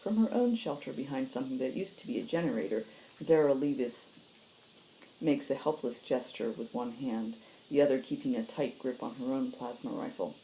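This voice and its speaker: Lady reading stories out loud, really low mellow voice, bit of bug noise in the background. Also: normal speed, low-quality recording. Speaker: host reading stories aloud. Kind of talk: casual introduction and expressive story reading.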